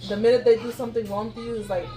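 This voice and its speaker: A woman's voice: a little high, level tone, racing. A woman from Kenya, a guest in a casual conversation, speaking bluntly and outspokenly.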